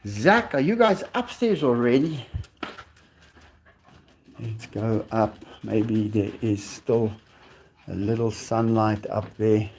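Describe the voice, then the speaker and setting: A man's voice: fairly low pitch, a little mellow, a little hiss or hum. A man with a South African Afrikaans accent, a native Afrikaans speaker, chatting casually on a live stream outdoors, musing as he answers viewers. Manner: musing, unhurried, reads viewer questions aloud and chuckles. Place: outdoors at sunset.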